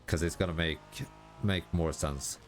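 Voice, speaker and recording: A Swedish man, a gaming YouTuber, talking casually in halting, self-correcting sentences and laughing at himself over his English pronunciation. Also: low-pitched, steady tone, soft background music. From Sweden. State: tired. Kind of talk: casual commentary while gaming.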